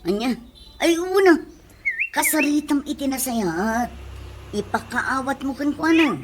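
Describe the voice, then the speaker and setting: A woman's voice: sits high, lively intonation, a little slow, background noise. A woman playing a gossipy, complaining friend in an Ilocano comedy radio drama, with a nagging, dramatic delivery and an Ilocano accent. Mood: startled.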